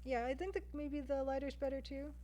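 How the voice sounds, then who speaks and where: A woman's voice: sits high, steady tone, brisk, warm tone. A woman with a Canadian accent chats casually to stream viewers, thinking aloud as she draws. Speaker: livestreamer, game artist. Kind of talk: casual chat with stream viewers while drawing.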